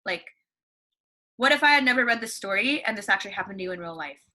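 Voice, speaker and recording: Brief: young woman teacher, bright ringing voice, upbeat, quizzes her class and answers herself, computer mic at home. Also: mid-range voice, expressive, fast talker. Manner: upbeat, asks questions and answers them herself. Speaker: elementary school teacher. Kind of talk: read-along lesson for young students at home. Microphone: computer microphone.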